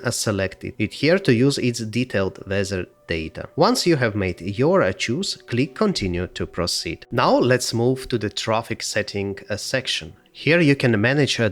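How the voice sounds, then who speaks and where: A man narrating a software tutorial step by step, with frequent hesitations. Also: fairly low pitch. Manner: halting delivery with frequent hesitations.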